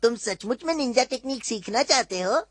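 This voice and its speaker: A woman's voice: fairly high pitch, animated, a little fast, no room sound. A woman voicing a sly, bossy trickster ninja in a Hindi cartoon dub, cocky, wheedling and mocking.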